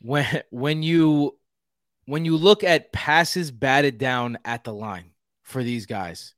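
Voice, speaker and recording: A man's voice: mid-range voice, takes it slowly, fairly bright tone, no echo, studio quality. A man from Houston co-hosting a casual sports podcast. He talks in a rambling way and repeats words while he thinks. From Houston, Texas. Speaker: podcast co-host.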